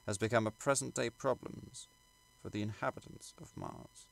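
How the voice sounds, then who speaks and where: A man reading literary prose aloud as an audiobook narrator, in a measured, formal and dramatic way. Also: fairly low pitch, gravelly, a bit bright, poor audio. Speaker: audiobook reader.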